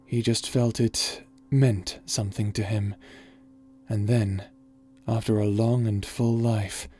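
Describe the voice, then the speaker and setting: A man's voice: lowish voice, little pitch movement, takes it slowly, dark tone. A man reading a scripted story epilogue as narrator.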